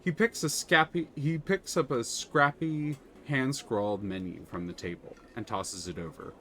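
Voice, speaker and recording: A man reading a game's narration aloud live, with animated delivery and quick self-corrections. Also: medium pitch, slight background noise. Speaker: game narrator.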